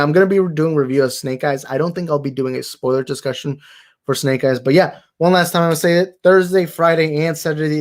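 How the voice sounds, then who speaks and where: A young man hosting a movie talk livestream, tired and slightly raspy, rambling and thanking his viewers. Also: mid-range voice, darkish tone. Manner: rambling, grateful, repeats himself. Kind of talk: casual livestream sign-off chat.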